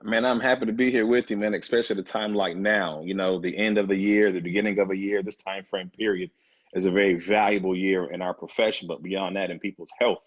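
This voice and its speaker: A man in his thirties speaks as an interview guest, telling his story in a relaxed way with plenty of fillers. His voice sits low and is recorded dry, with no room sound. The audio is slightly dull and lo-fi. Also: fast, no echo. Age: 30s. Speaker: guest, personal trainer. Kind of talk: casual interview talk.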